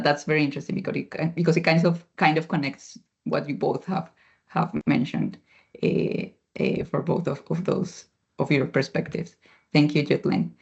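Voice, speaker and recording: Over a dull, lo-fi video call line comes a moderator of mid-range pitch, hesitant and full of small fillers. They frame long policy questions for the panel in a steady conversational way. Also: creaky. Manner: hesitant, many fillers and restarts. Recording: muffled lo-fi video call audio.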